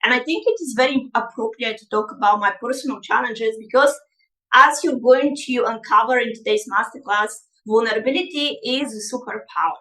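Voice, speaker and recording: A woman's voice: a little high, fairly quick, sharp tone, reverberant, rough recording. A woman whose first language is Bulgarian, running a live online coaching masterclass and talking to her audience directly in an upbeat, motivational way.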